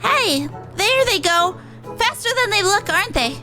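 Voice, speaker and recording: A woman's voice: very high voice, sing-song, measured pace, bright, forceful tone, faint music behind, pitched above the performer's own voice, full character voice. A woman voicing an eager, plucky youngster in a game. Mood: frustrated.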